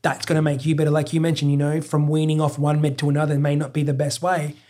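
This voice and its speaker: A man's voice: fairly high pitch, monotone, quick pace, dark tone. A man in his thirties with an Australian accent, English not his first language, talking openly and reflectively as a podcast guest, often restarting his sentences. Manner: open, reflective, trails off in restarts. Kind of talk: casual chat.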